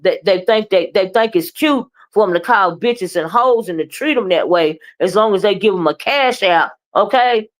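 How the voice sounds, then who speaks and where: A woman with a loud, boisterous voice, ranting bluntly in a live commentary and keeping her listeners in line with constant checks of "okay?" and "right?". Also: medium pitch. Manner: blunt, profane, keeps asking "okay?" and "right?"; moody, confrontational.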